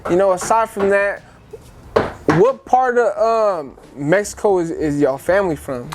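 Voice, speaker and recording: A man's voice: high voice, unhurried. A man hosting an interview in casual, slang-filled conversational talk. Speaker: interview host. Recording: clear background noise, ambience.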